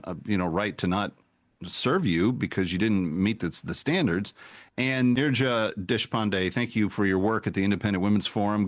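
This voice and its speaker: Animated male talk radio host. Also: fairly low pitch. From United States, far from the East Coast. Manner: animated, emphatic.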